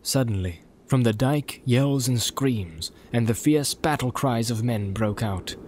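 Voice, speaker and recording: A man narrating a fantasy novel in a measured, solemn, dramatic reading style. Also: mid-range voice, darkish tone, a little hiss or hum. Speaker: audiobook narrator.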